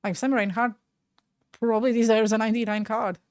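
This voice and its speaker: A woman's voice: on the high side, fairly even intonation, fairly quick, dry recording, clean studio sound. A young woman in her twenties from Latvia, speaking with a Latvian accent, chatting casually and frankly with her live-stream viewers, often trailing off mid-word.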